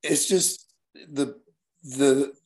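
A hoarse man from Northeast Alabama, a guest talking earnestly and at length in an interview. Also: mid-range voice, fairly even intonation, very slow, a little mellow. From Northeast Alabama, US South. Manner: earnest, rambling explanations. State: hoarse, partly lost voice. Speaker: guest, nightlife event promoter. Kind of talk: casual interview talk.

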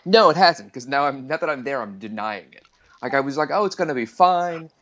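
A man's voice: medium pitch, expressive, fairly quick, fairly bright tone, muffled recording. A man in his forties, a returning podcast guest, chatting casually in a rambling, self-deprecating way. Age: 40s. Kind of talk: casual chat.

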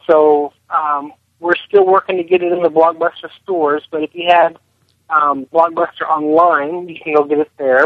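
A man's voice: a little high, no echo. A man being interviewed as a film director, answering conversationally over a telephone line. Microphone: phone.

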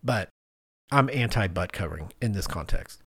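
A man's voice: low-pitched, expressive, moderate pace, a bit bright, husky, no room sound, clean studio sound. A middle-aged man co-hosting a podcast, telling stories in a casual, conversational way and acting out what people said. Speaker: podcast co-host.

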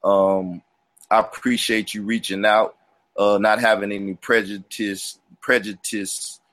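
A man who teaches the Bible, explaining his views as a show guest in a rambling way, quoting verses between halting fillers. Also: fairly low pitch, level tone, a bit bright, dry recording. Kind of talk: casual explanatory talk.

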